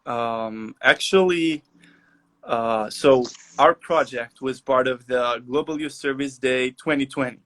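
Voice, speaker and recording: A young man from Albania, answering interview questions over a video call with many "uh" and "um" pauses. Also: medium pitch, fairly bright tone. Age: young adult. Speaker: guest.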